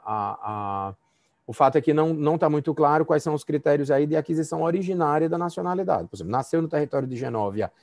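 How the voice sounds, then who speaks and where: A man's voice: medium pitch, vocal fry, a little mellow, dry recording, clean studio sound. A Brazilian man, a law professor, giving a conversational university lecture and feedback over a video call, with frequent fillers and restarts.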